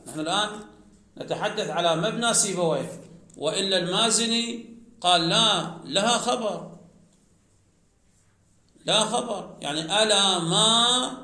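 Male teacher with a high voice lecturing on grammar and quizzing the class, recorded in an echoey room. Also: bright, forceful tone. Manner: slow, explanatory, asks the class questions then answers them.

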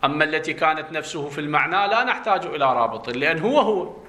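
A male grammar teacher with an Iraqi Arabic accent lectures in a clear, ringing voice that sits a little high for a man. He stretches out key terms for emphasis. He keeps putting questions to his students and answering them himself. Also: animated, bright, forceful tone. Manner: drawn-out stressed words when explaining terms. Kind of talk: classroom lecture explaining a classical text.

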